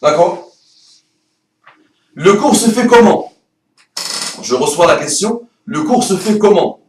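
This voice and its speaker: A man who speaks French as a second language, with an Arabic accent and rolled R's. He pitches an Arabic course in a playful, emphatic way full of rhetorical questions. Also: expressive, slow, reverberant, distant mic, a little hiss or hum. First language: not French. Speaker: Arabic teacher.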